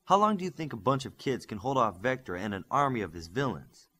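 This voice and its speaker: A bitter older commander, acted by a male reader in an audiobook. He is curt and condescending, with a slightly husky voice and lively pitch. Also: brisk. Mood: condescending anger. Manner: curt, questioning.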